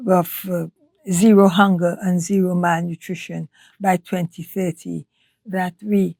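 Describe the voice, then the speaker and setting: An older woman from Trinidad and Tobago, a scientist answering interview questions in a measured way, often restarting her phrases. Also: medium pitch, warm tone, dry recording. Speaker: guest, nutrition scientist. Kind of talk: podcast interview.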